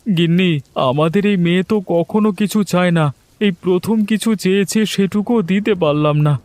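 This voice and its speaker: A man's voice: high voice, a little fast, darkish tone, dry recording, close to an everyday voice. A man playing a poor, devoted village father in a cartoon, earnest and full of guilt. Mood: sad, earnest. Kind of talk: Bengali cartoon voice acting.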